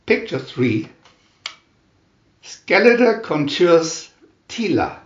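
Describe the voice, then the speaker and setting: A man's voice: a little high, very animated, extremely slow, dull audio. Guy reading an adventure storybook real dramatic, voice swinging up and down, kinda echoey room. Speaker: reader of picture-reel story booklets. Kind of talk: dramatic scripted storybook narration.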